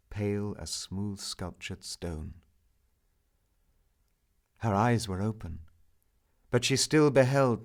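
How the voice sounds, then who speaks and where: Slow, low-voiced man reciting romantic verse. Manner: solemn, theatrical, measured delivery. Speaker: narrator reciting poetry. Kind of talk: dramatic recitation of a romantic narrative poem.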